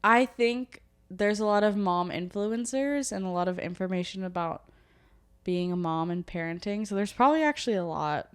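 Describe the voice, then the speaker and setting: A woman's voice: medium pitch, moderate pace, smooth. A young woman co-hosting a podcast in casual chat, chatty and self-mocking. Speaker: podcast co-host.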